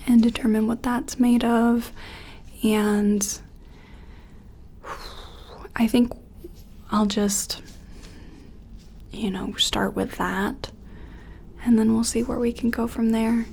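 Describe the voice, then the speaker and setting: Soft, creaky, whispery woman scientist, roleplayed. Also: medium pitch, a little hiss or hum. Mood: gentle, focused. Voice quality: soft, breathy, often whispery. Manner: soothing, tentative, apologetic, hesitant pauses. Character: gentle, curious woman scientist examining an alien. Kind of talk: soft-spoken ASMR roleplay.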